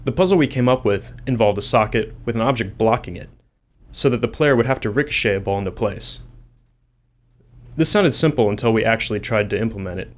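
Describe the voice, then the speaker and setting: A man's voice: fairly low pitch, brisk. A man who works as a game developer, reading scripted commentary on design.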